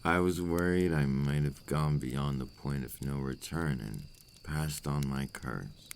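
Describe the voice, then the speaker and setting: A man performs a brooding vampire in an intimate audio roleplay. His voice sits extremely low, with a heavy gravelly creak. He speaks slowly and formally, like a cursed creature full of regret, over faint background noise. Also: a little mellow, exaggerated voice. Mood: somber, regretful. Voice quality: very deep, gravelly. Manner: slow, deliberate, formal phrasing. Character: remorseful adult male vampire, brooding and tormented by his curse. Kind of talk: ASMR roleplay acting, intimate monologue.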